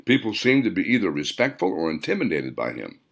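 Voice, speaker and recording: A man's voice: low-pitched, big pitch swings, fairly quick, fairly bright tone. A man reading a scripted story aloud as the author and narrator of an audiobook.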